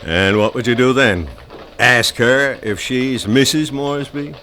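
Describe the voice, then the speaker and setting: An actor playing a skeptical, wry American police chief in a radio mystery drama, speaking in a dry, sardonic, matter-of-fact way. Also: male voice, mid-range voice, a little slow, bright, forceful tone. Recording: radio drama recording with faint noise.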